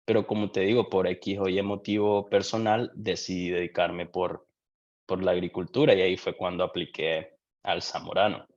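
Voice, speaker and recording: Young Honduran man, very low voice, lo-fi muffled sound, thoughtful interview guest. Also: flat delivery. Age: young adult. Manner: reflective, unhurried, with frequent restarts. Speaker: guest, doctoral student. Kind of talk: casual interview answers.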